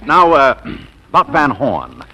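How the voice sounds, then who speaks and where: A man's voice: mid-range voice, huge pitch swings, slow, pushed and bright, a little hiss or hum, full character voice. An actor playing a suave, bossy, womanizing businessman in a 1940s radio comedy, breezy and self-assured with mock hesitations. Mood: probing, sly.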